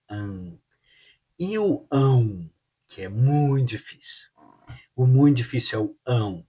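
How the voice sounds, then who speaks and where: Slow, husky Brazilian teacher. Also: male voice, mid-range voice. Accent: Brazilian Portuguese. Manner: slow, drills words by repeating them. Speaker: Portuguese teacher. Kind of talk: pronunciation lesson.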